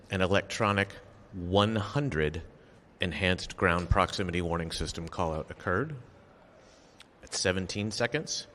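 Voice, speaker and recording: Low-voiced man in a reverberant hall. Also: a bit bright, a little hiss or hum. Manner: measured, factual, deliberate. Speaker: official giving an investigation briefing. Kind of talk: formal prepared statement read at a press briefing. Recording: long reverberant echo of a hall.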